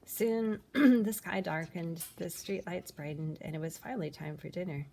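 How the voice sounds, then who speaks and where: A woman reading a children's picture book aloud in an expressive, animated way, with dramatic pauses. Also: fairly low pitch, gravelly. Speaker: storybook reader.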